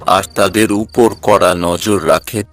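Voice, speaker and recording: Male storyteller, fairly low pitch, scripted cartoon narration, music bed. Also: steady tone, measured pace. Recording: music under the voice.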